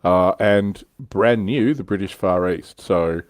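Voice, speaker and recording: A man's voice: sits low, slow pace, no room sound. A man hosting a casual hobby livestream, telling stories in an explanatory way with some swearing. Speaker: livestream host.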